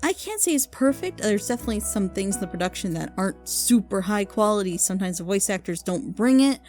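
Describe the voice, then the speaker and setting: A woman's voice: mid-range voice, animated, brisk, a little mellow, soft background music. A woman giving a casual, unscripted review as a video host, rambling informally and with enthusiasm. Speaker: video host recommending fan audio dramas.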